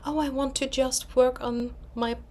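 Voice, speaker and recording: A woman's voice: sits high, fairly even intonation, measured pace, warm tone, slight background noise. German woman chatting casually about stitching. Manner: rambling, self-deprecating, searches for words. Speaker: cross-stitch vlogger. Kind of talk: casual chat.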